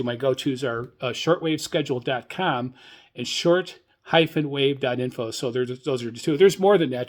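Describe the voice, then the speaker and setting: Older man, medium-pitched voice, podcast host, informal news commentary, halting and rambling delivery. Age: older, 60s or above. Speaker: radio hobbyist podcast host.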